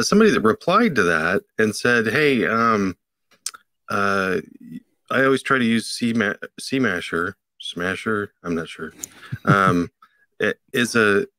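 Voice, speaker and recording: A middle-aged man co-hosting a podcast, explaining a tech item in a halting way with many fillers, from a home office on a video call. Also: on the low side, sharp tone, no echo. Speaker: podcast co-host. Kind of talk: casual tech chat.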